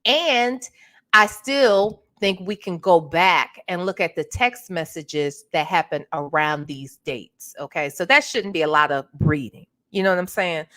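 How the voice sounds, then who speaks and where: A woman's voice: fairly low pitch, measured pace, a bit bright. Chatty, congested woman livestream host. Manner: chatty, greets viewers by name. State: congested, clearing her throat. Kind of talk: casual livestream chat.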